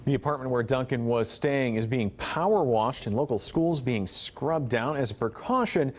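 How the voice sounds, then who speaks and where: A man's voice: mid-range voice, moderate pace, a little hiss or hum. An American man anchoring a newscast, reading stories and bantering casually with a co-anchor. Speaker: news anchor.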